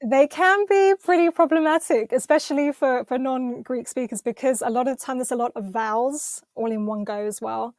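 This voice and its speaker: A woman's voice: sits high, moderate pace, few pauses, darkish tone, no echo, clean studio sound. A woman with an English accent, a singer chatting as a podcast guest in an enthusiastic, rambling way with many small restarts. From London, England. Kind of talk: casual interview chat.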